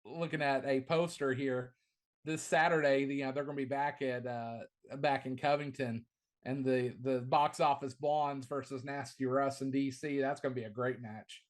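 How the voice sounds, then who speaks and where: Man with a carrying, not-deep voice. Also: steady tone, normal speed. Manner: enthusiastic, repeats words at the start of phrases. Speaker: wrestling commentator and ring announcer. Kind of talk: casual podcast interview.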